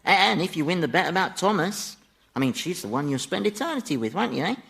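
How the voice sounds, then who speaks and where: An actor playing a sly, gleefully malicious Devil in a radio comedy, urbane and teasing, with a chuckling, mock-innocent manner. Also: male voice, high voice, big pitch swings, fast, creaky, a bit bright, full character voice. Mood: slyly goading. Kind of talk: radio sitcom acting.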